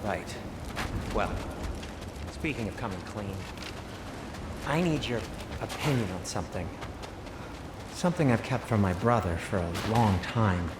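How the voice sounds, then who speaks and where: A man's voice: lowish voice, lively intonation, a little slow, long pauses, heavy background noise. An actor playing an anxious, grumbling dwarf craftsman in a video game cutscene, with a sardonic, fussy manner. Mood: hesitant.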